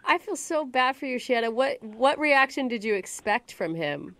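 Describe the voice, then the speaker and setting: An expressive American woman with a fairly high, very animated voice co-hosts a morning radio show. She banters casually and reacts with emphasis. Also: normal speed, no echo.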